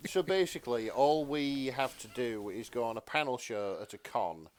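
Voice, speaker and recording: A British man with a mid-range voice chats casually as a podcast co-host. His manner is wry and self-deprecating, pitched for comedy. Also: animated, normal speed. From Britain.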